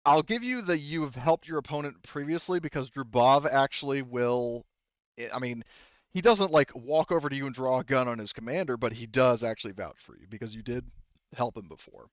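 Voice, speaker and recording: Man, game master, medium pitch, deliberate, thinking aloud, tabletop roleplaying, slightly lo-fi recording. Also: no room sound. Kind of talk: running a tabletop roleplaying game, explaining rules and story.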